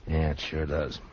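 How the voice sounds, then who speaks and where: An actor playing a stern, laconic frontier marshal with quiet authority in a 1950s radio western. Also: male voice, a bass voice, full character voice. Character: stern, steady frontier marshal, adult man. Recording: muffled noisy 1950s radio recording.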